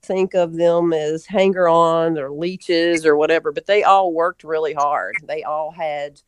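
A woman's voice: low-pitched, steady tone, a little slow, a little hiss or hum. A middle-aged woman, reminiscing casually and telling folksy anecdotes as an interview guest. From Memphis, Tennessee, USA.

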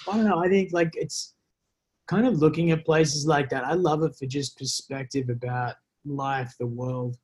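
A man's voice: fairly high pitch, normal speed, darkish tone, slightly rough. An Australian man, a former soldier, telling stories as a podcast guest in a casual, rambling, reflective and joking way. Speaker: interview guest, former special forces soldier. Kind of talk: casual podcast conversation, storytelling.

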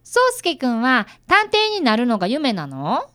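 A woman playing a bright, polite fifth-grade boy apprentice detective in a radio drama. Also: fairly high pitch, huge pitch swings, measured pace, exaggerated voice. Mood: polite, wistful. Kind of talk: radio drama acting with first-person narration.

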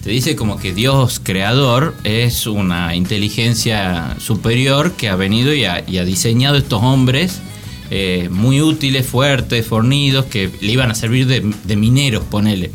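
A man's voice: medium pitch, animated, unhurried. A man with an Argentine accent chatting casually as co-host of a radio show. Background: guitar music.

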